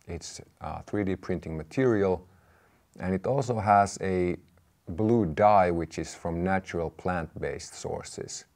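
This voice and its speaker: Deep, mellow-voiced man presenting. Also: takes it slowly. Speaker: presenter, company representative. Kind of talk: product presentation to camera. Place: indoor room with brick wall, seated at a table.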